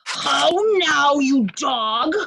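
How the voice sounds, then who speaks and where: Woman doing nasty bossy duke, shakespeare reading, really slow, bright hard voice, close and dry. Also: high voice, creaky, dry recording, exaggerated voice. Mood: menacing. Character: cruel, commanding nobleman Cornwall, sadistic interrogator. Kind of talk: Shakespearean readers' theatre.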